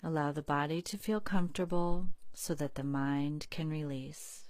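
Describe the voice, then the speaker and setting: A woman leading a guided relaxation in a calm, soothing, unhurried manner with long pauses. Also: low voice, no echo. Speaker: guided meditation leader.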